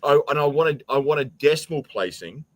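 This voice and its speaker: A man's voice: fairly high pitch, expressive, fairly quick, no echo. A man hosting a casual quiz among friends, bantering bluntly and often repeating words as he starts. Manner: teasing, blunt, stammering repeats. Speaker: show host running a quiz.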